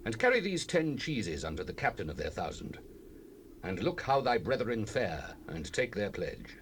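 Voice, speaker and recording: An actor playing an old shepherd father, giving gentle, deliberate instructions in a dramatized Bible recording. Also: fairly low pitch, very animated. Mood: calm, fatherly. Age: older. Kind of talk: dramatized Bible audio acting. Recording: faintly noisy cassette rip.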